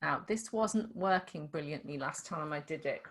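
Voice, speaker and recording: A woman's voice: sits low, expressive, normal speed, a bit bright. A middle-aged woman from Liverpool with a slight Scouse accent, casually tutoring on screen with lots of restarts. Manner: chatty, demonstrative, many restarts. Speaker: dyslexia tutor.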